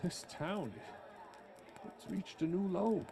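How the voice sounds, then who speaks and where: A sneering, snobbish townsman , acted by a man with a slightly high voice. He is hostile and disdainful, in old radio-drama style. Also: slow, creaky, a crowd in the background, exaggerated voice. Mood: scornful.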